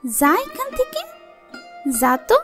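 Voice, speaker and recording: A cruel cartoon stepmother, played by a woman, very high-pitched and harsh. She scolds and threatens in big swoops, domineering and taunting, with music underneath. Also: wildly swooping pitch, slow, heavily acted. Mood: contemptuous. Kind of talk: cartoon fairy-tale voice acting.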